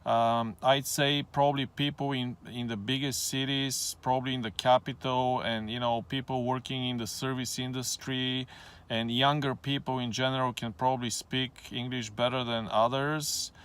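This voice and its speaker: A man's voice: medium pitch, flat delivery, normal speed, fairly bright tone, clean tone. Hesitant man. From Bulgaria. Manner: hesitant, many ums, plain matter-of-fact delivery. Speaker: channel host. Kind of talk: casual explanatory vlog.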